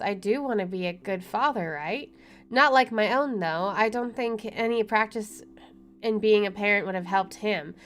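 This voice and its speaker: A woman's voice: medium pitch, a bit bright. A woman doing a let's play, reading story text aloud and adding wry, chatty commentary. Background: game music.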